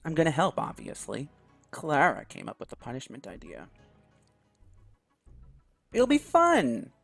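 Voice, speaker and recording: A man's voice: fairly high pitch, wildly swooping pitch, unhurried, vocal fry, breathy edge, soft background music, exaggerated voice. A man voicing a cocky, mocking teenage schoolboy bully in a visual novel read-aloud. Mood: smug. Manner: taunting, smug.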